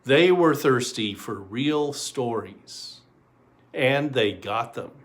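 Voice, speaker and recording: Slow-paced man reading a story aloud. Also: medium pitch, lively intonation. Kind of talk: children's storytelling, read aloud.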